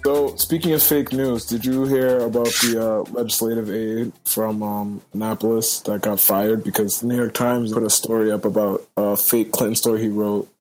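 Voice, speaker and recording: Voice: mid-range voice, flat delivery, normal speed, few pauses, darkish tone, faint music behind, rough recording. A podcast co-host in casual banter, telling stories in slangy language and acting out what people said.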